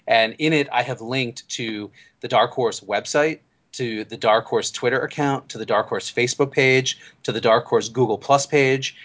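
A man's voice: medium pitch, normal speed, hardly any pauses, fairly bright tone. A man hosting a casual fan podcast, chatty and thinking aloud as he talks. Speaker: podcast host. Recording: slightly dull lo-fi audio.

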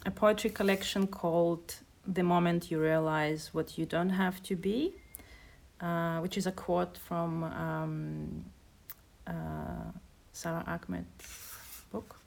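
Slow, gravelly woman poet from Kazakhstan. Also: lowish voice, fairly even intonation, darkish tone. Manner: hesitant, measured. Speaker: poet and translator. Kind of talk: casual conversation and poetry reading.

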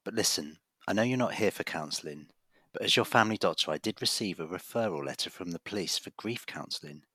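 A male family doctor, played by an actor, speaks with professional, measured earnestness. His voice is low and rough-edged yet bright and forceful, recorded dry for an audio drama. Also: lively intonation, dry recording, exaggerated voice. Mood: reassuring, concerned. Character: reassuring, persistent male family doctor with a secret.

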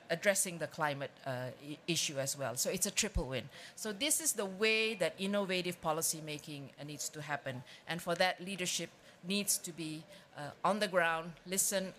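A woman from Indonesia closing a conference keynote, speaking in a measured way with frequent pauses and fillers. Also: fairly low pitch, a bit bright, slight background noise. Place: large conference hall.

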